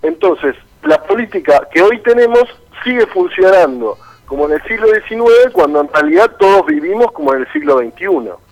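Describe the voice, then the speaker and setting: A man's voice: sits high, sing-song, normal speed, hardly any pauses, rough recording. A man with a Buenos Aires accent speaking as a political candidate in a radio interview by phone, laying out his points in an articulate, persuasive way. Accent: Rioplatense Argentine, Buenos Aires.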